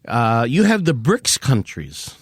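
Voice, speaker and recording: Animated older man, lively emphatic talk. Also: medium pitch, slow, breathy edge, no room sound, studio quality. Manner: animated, emphatic, repeats words when building a point; acts out mock quotes in a raised voice. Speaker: author and activist guest. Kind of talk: podcast interview.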